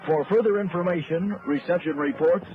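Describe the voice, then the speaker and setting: A man's voice: a little high, a little fast. A man giving a solemn, proclamatory station announcement in a formal radio style. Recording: dull lo-fi shortwave radio recording, music behind. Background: music.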